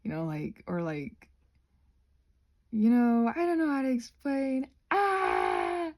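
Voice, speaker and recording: A woman rambling casually to her viewers while crafting, hesitant and self-deprecating, trailing off and often slipping into a breathy near-whisper. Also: fairly high pitch, sing-song, slow pace, a little mellow. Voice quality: breathy, husky with creak.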